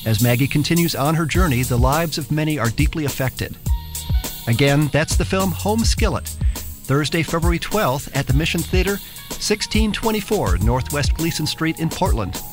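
A man reading a station promo announcement slowly and carefully. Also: fairly high pitch, lively intonation, over music. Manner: slow, careful read-out.

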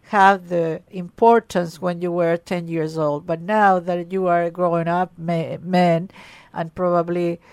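A woman's voice: lowish voice, level tone, a little slow, a little mellow, clean tone, dry recording. A woman hosting a call-in radio show, speaking emphatically and often repeating words as she starts a thought. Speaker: radio host.

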